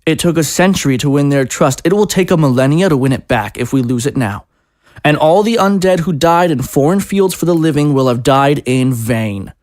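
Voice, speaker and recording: A man voices an impassioned official in an audio drama. He pleads urgently against a first strike. His voice sits somewhat high and is recorded dry and close. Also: lively intonation, normal speed, darkish tone, no room sound, natural voice. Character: impassioned male official urging restraint. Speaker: official arguing against an attack.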